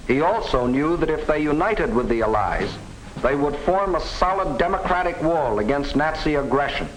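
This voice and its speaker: A man narrating a 1940s propaganda film with emphatic, deliberate phrasing, on a vintage film soundtrack. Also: a little high, a little hiss or hum, rough recording. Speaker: documentary narrator.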